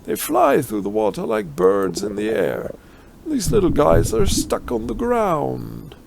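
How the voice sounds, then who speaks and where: A man's voice: sits high, wildly swooping pitch, measured pace, creaky, noisy background. A man with a German accent doing a let's play, reading game text aloud and adding wry, ironic asides. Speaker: let's player.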